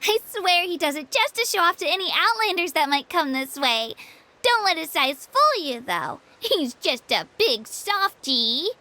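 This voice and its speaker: A woman's voice: very high-pitched, very animated, a little slow, sharp tone, slight background noise, full character voice. A woman voicing a small, friendly young girl in a video game, chirpy, affectionate and earnest. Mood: friendly explaining. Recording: game music behind the voice.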